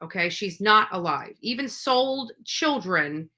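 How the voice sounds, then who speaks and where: A woman's voice: mid-range voice, lively intonation, slow, pushed and bright. A woman giving unscripted, opinionated livestream commentary, emphatic and accusatory, repeating phrases for force. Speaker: livestream commentator on true crime cases.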